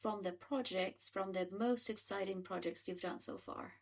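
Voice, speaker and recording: A woman's voice: mid-range voice, normal speed, gravelly. A woman hosting a podcast interview, chatting casually with fillers and restarts. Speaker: podcast host.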